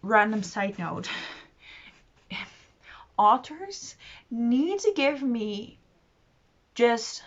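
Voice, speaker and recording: A woman's voice: fairly high pitch, big pitch swings, drawn-out words, long pauses, muffled recording. A woman talking casually as a book vlogger, in an enthusiastic, rambling and self-deprecating way. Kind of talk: casual chatty monologue to camera.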